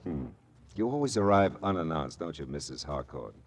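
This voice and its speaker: An actor playing a wry, laconic, world-weary private detective in a radio drama, narrating and trading dialogue. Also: male voice, extremely low pitch, big pitch swings, moderate pace, slight background noise, heavily acted. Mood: dry, wry.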